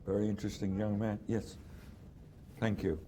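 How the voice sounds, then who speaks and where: A man's voice: lowish voice, flat delivery, moderate pace, dark tone, slight background noise. A man moderating a question session, briefly asking the audience for questions. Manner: brief, polite, calls for questions.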